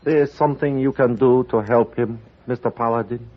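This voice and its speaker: A muffled old radio recording carries a man acting a grave older Chinese uncle. His voice is husky and of middle pitch, and he chooses each formal word with care and dignity, as in 1950s radio drama. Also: steady tone, natural voice. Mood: somber. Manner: formal, careful, deliberate, without contractions.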